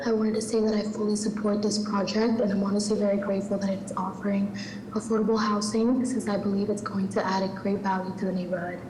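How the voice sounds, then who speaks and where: Brief: sick woman, polite, monotone and mellow, echoing room. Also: mid-range voice, quick pace, a little hiss or hum. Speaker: public commenter. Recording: echoey reverberant call audio.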